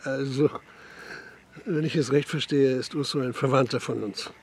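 Actor as a gravelly, sardonic skeptic. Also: male voice, medium pitch, steady tone, normal speed, slight background noise, natural voice. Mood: defensive. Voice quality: husky, gravelly. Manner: dry, ironic, clipped. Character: skeptical, sardonic, weary man, dryly mocking. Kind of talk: naturalistic radio drama dialogue.

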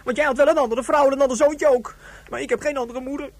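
A woman's voice: high-pitched, steady tone, fast talker, no echo. A woman playing a hurt, accusing young boy in a science fiction radio drama.

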